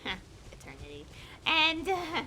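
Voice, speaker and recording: A woman's voice: high-pitched, sing-song, unhurried, pushed and bright, a little hiss or hum, rough recording. A young woman chatting casually as she streams a game, rambling and hesitantly thinking aloud. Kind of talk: casual live gameplay chat. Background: game music.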